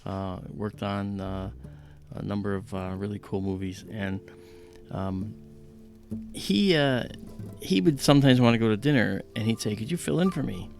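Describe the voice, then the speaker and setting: A man hosting a casual livestream, his deep voice heavy with creak and fry. He explains things conversationally and tells stories in an animated way, quoting dialogue as he goes. Music plays behind him. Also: unhurried. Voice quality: deep voice from a paralyzed vocal cord. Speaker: livestream host and astronomy presenter.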